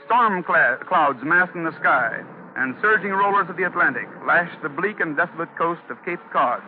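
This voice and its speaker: A man's voice: fairly high pitch, lively intonation, normal speed, soft background music. Formal male narrator, tinny old radio. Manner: formal, oratorical. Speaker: historical advisor, narrator. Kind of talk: scripted 1940s radio narration. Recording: tinny 1940s radio recording.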